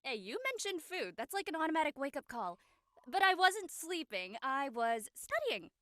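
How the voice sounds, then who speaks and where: Bright, high-voiced carefree teen girl played by a woman. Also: sing-song, normal speed, no echo, clean studio sound, full character voice. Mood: playful. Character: carefree, feisty high school girl studying with friends. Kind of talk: online dramatic table read.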